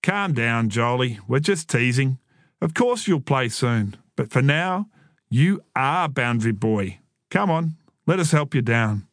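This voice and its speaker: Storybook role: taunting older twin boy, falsely solemn, animated, read by a male narrator. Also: mid-range voice, measured pace, dry recording, studio quality. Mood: teasing. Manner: mock-serious, taunting.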